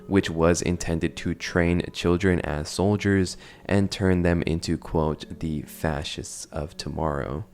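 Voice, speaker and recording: Very deep-voiced man giving commentary. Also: darkish tone. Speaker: video narrator and reviewer. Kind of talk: casual analytical commentary on horror videos.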